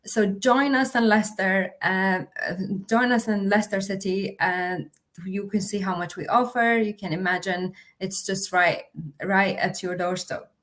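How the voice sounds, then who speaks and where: A woman's voice: mid-range voice, steady tone, a little slow, fairly bright tone. A young woman, a university officer, presenting a livestream with many fillers and restarts. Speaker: international officer.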